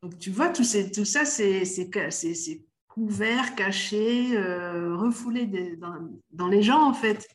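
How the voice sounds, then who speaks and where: A woman's voice: mid-range voice, slow pace, hardly any pauses. Hesitant middle-aged woman. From Netherlands. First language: Dutch. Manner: hesitant, many restarts and fillers. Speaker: guest, doula of the inner child. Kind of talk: casual podcast conversation.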